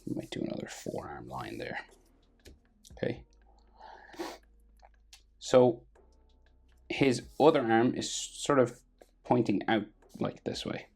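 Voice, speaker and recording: An Irish man with a gravelly, husky voice, casually talking through a drawing lesson step by step and trailing off quietly as he works. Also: fairly low pitch, slow pace, long pauses. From Ireland. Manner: relaxed, thinking aloud while drawing, trailing off quietly. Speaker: drawing tutor.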